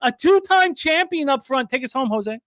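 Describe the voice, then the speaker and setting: A man co-hosting a sports betting radio show, calling a pretend horse race in a quick, theatrical announcer style. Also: extremely high pitch, lively intonation, a bit bright, dry recording, clean studio sound. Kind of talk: mock horse race commentary.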